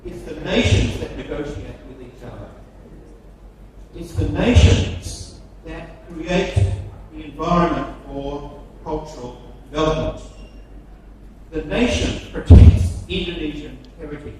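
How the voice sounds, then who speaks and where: An Australian man lectures at an unhurried, measured pace, hammering home each point with repeated phrases and firm stresses. His voice sits slightly high and reaches the mic from a distance. A big, echoing hall and a steady hiss of noise surround it. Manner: slow, emphatic, repetitive phrasing with strong stresses. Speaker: guest lecturer. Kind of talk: seminar lecture. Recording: very echoey hall from far off, noisy.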